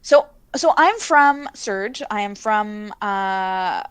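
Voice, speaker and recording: A Canadian woman in her thirties talks casually on a live stream, filling gaps with ums and laughing easily. Her accent is noticeable, and her pitch sits in an ordinary middle range. The sound is dry and close, with no room echo. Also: lively intonation, drawn-out words, a bit bright, smooth. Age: 30s. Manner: chatty, laughs often, frequent fillers. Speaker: co-host of a missing-persons livestream.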